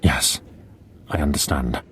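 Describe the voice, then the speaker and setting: A man voicing a resigned, gentle noble father in a video game, calm and solemn. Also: very deep voice, slow, slightly rough, full character voice. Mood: resigned, consoling.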